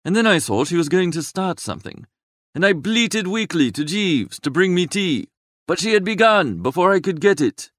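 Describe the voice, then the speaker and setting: Idle, flippant young gentleman male reader for comic storybook: droll, wordy, higher-pitched, very animated. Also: moderate pace, no room sound, clean studio sound. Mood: breezy, droll. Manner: wordy, breezy, drolly exaggerating. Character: Bertie Wooster, young idle upper-class gentleman, flippant and languid. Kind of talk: comic first-person audiobook narration.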